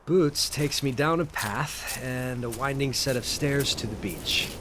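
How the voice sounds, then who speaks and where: An actor playing a troubled, bookish, melancholy man in an audio drama, speaking and narrating in a halting, introspective way. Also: mid-range voice, a bit bright, a little hiss or hum, lightly acted. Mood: reflective. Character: troubled, bookish, melancholy man revisiting childhood holiday guesthouse.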